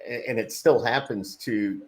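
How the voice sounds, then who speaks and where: A man hosting a podcast interview, speaking slowly and rambling, with lots of small encouraging replies. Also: medium pitch, background noise. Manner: slow, rambling, with many backchannels. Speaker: podcast host. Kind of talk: casual interview conversation.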